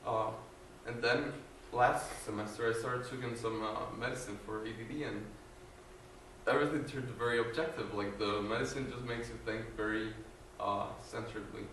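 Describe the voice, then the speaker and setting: A man's voice: mid-range voice, fairly even intonation, moderate pace, fairly bright tone, a little hiss or hum. Young guy from guatemala telling his faith story, lots of ums and restarts, sounds far off in a big echoey room. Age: young adult. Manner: hesitant, earnest, many fillers and restarts. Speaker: student giving testimony. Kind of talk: personal faith testimony. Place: large room with an audience. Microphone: no mic, distant recorder.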